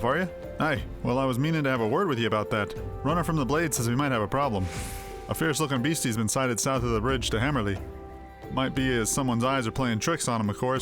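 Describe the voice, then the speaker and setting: A man's voice: medium pitch, natural voice. Man voicing a folksy, wary caravan coachman. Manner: folksy, colloquial, dryly matter-of-fact. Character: rustic, plain-spoken, wary male caravan coachman. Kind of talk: video game character dialogue. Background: game music.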